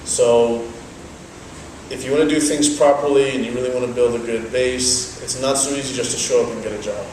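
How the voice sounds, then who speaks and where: A young man whose first language is Dutch, giving measured, explanatory answers in an interview. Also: mid-range voice, steady tone, a little mellow, slight background noise. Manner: measured, explanatory, thoughtful pauses. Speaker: interviewee, business owner. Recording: very echoey, from a distance, faint ambience.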